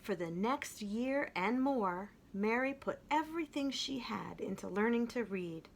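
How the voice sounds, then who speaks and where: A woman reading a children's picture book aloud in an expressive way, slowing down to stress key phrases. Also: on the high side, vocal fry, a little hiss or hum. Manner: expressive, with slowed emphatic phrases. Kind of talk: storybook read-aloud.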